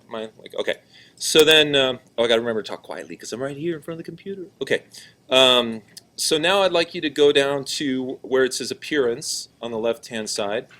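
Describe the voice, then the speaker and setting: Loud, projecting male teacher. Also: medium pitch. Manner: casual, checks in with class often. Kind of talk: classroom lesson, walking around. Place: classroom.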